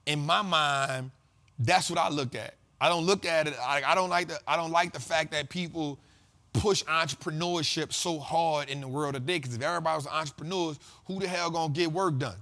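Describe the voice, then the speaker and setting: A man hosts a money talk show in loose, slangy conversation. His voice sits a little higher than most men's. He stacks repeated lines the way a preacher does. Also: brisk, bright, forceful tone. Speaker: host of a finance talk show. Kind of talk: casual motivational monologue.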